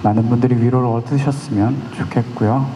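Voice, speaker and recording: A thirty-year-old male singer talking softly and shyly to a concert audience between songs, on a handheld stage microphone. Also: mid-range voice, fairly even intonation, fast talker, slight background noise. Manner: soft-spoken, shy and playful with the audience. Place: large concert hall, on stage. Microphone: handheld stage mic. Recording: concert hall with long reverb, music behind.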